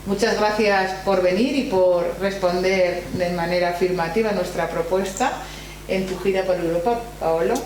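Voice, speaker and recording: A woman's voice: fairly low pitch, fairly even intonation, normal speed, darkish tone. A woman with an accent from Spain formally introduces a guest speaker at an academic seminar, partly reading and sometimes hesitating. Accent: Spanish from Spain. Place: university library room. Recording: very echoey room, faint hum and noise.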